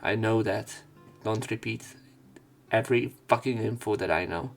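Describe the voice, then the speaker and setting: A man's voice: lowish voice, normal speed, soft background music. A man hosting a let's play, reading game text aloud and adding casual, sweary asides.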